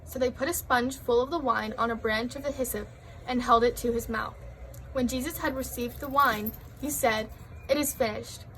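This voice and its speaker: Female scripture reader, slightly high pitch, faint noise on the recording. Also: steady tone, normal speed, a bit bright, rough recording. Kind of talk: scripture reading.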